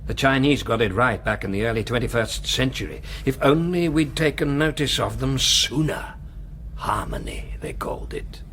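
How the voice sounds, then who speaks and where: An actor playing a coldly authoritarian, urbane and cynical world ruler in a radio drama, with a measured, condescending delivery. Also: male voice, medium pitch, a bit bright, slight background noise, close to an everyday voice. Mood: condescending, dismissive. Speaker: controller of a dystopian society.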